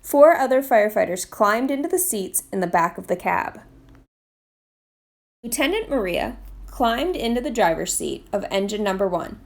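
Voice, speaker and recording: A woman reading a children's picture book aloud in an expressive storytime style. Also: mid-range voice, normal speed, gravelly. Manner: expressive read-aloud delivery. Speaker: storytime reader, literacy program manager.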